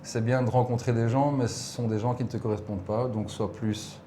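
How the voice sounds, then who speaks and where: A man's voice: mid-range voice, steady tone, fairly quick, warm tone, slight background noise. A man in his forties from Belgium with a Belgian French accent, a guest chatting casually and reflectively in an interview, laughing easily. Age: 40s.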